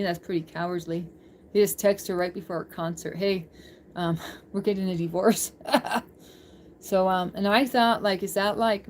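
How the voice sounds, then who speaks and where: A woman's voice: fairly low pitch, darkish tone. Chatty woman livestreamer over background noise. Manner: informal, rambling, giggly. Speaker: livestream host chatting with viewers. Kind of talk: casual livestream chat.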